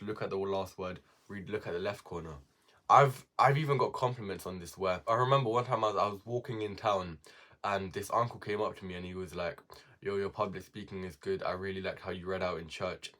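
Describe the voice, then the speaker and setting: A man's voice: deep voice, fairly bright tone. A young man giving casual self-improvement advice to viewers, repeating key words for emphasis.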